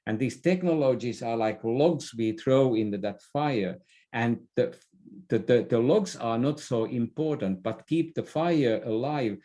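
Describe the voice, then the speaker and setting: A middle-aged man speaks as an interview guest. He offers advice in a conversational way, hesitating and doubling back over words as he builds each thought. His pitch sits in an ordinary middle range for a man. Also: a little slow, warm tone. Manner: hesitant, repeating words as he goes. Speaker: podcast guest, business expert.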